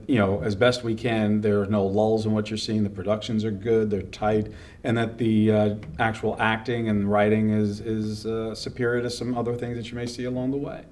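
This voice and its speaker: A man's voice: lowish voice, level tone, fairly quick, darkish tone, recorded from a distance. A man giving earnest, rambling explanations in a casual interview as a festival organizer.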